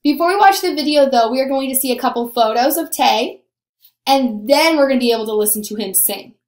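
A young woman teacher giving a recorded lesson to young children, explaining simply and deliberately, on a computer mic in a small room. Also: high-pitched, lively intonation, a little mellow. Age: young adult. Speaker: elementary school teacher. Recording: roomy, echoing home recording.

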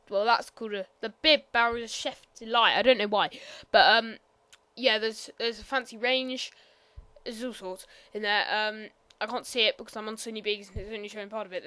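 A woman giving a casual, rambling game tour voiceover, hesitant and full of ums and apologies, sometimes bursting out loud. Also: a little high, smooth, no echo. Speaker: gameplay commentator.

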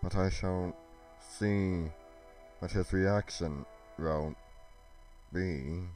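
A man playing a stern, spiteful god who speaks in solemn, halting pronouncements in an amateur scripted roleplay. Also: low voice, darkish tone, soft background music. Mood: cold, menacing. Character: stern, spiteful male god passing judgement.

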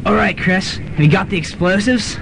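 A man playing a bossy young student rebel leader in a student radio drama, brisk and commanding. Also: high voice, gravelly. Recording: noisy student radio recording with ambience.

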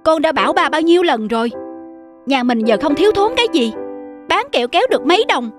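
An exasperated grown son in an animated drama. He is middle-aged and status-conscious, with music playing behind him. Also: very high voice, quick pace. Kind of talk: animated film dubbing.

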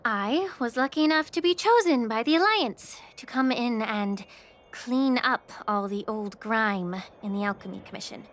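A woman voicing a composed, soft-spoken young woman alchemist in a game. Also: on the high side, normal speed, lightly acted. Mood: polite. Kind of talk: game voice acting. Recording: game audio with clear background music.

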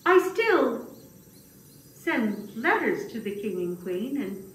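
A woman's voice: a little high, very animated. A woman voicing a humble, fretful old man who tells his puppet-show tale in a dramatic, hesitant way. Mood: contented. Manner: slow, dramatic, hesitant telling with wailing exclamations. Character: humble, fretful old male grass cutter, puppet storyteller. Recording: distant, echoey room with faint noise.

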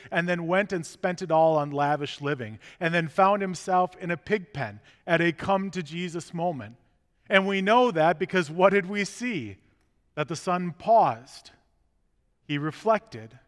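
A middle-aged pastor preaches in an easy, conversational way, tossing rhetorical questions to his congregation. His voice sits high for a man, and it rings with the long reverb of a big sanctuary. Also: a bit bright. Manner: gestures while walking about the chancel. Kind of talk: sermon. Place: large church sanctuary, indoors. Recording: long reverb of a church hall.

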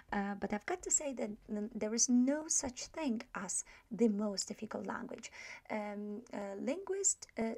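A woman with a Polish accent, a native Polish speaker, narrating an online pronunciation lesson over slides and pausing often with fillers. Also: on the high side, a little mellow. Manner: hesitant, many fillers and restarts. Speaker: language teacher.

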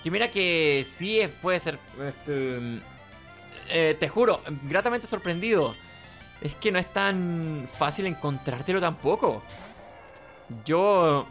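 A man's voice: fairly high pitch, a bit bright. A man giving casual, rambling let's play commentary, talking to himself. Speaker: gaming host.

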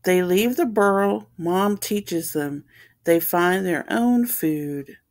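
A woman reading a children's picture book aloud as a storytime host, explaining things simply and deliberately for young listeners. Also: fairly low pitch, a little mellow.

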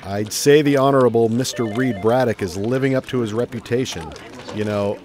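A man's voice: mid-range voice, moderate pace, a little mellow, lightly acted. An actor playing a good-natured, wisecracking family man and reporter in an audio drama. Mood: polite, wry. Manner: affable, joking.

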